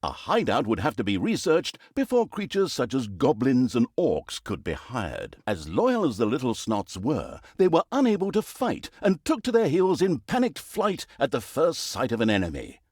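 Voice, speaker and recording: A man's voice: medium pitch, huge pitch swings, moderate pace, hardly any pauses, a little mellow, slightly rough, no room sound, studio quality. A man playing a sardonic, mock-grand storybook narrator in a video game, telling of an evil overlord's deeds with theatrical flair. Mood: wry, mock-grand.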